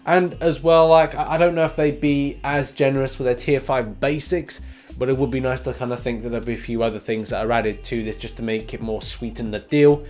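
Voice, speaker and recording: A man's voice: medium pitch, fairly even intonation, a little fast, a little mellow, faint music behind. A man hosting a casual gaming news video, chatty and informal, punching his headlines with emphasis.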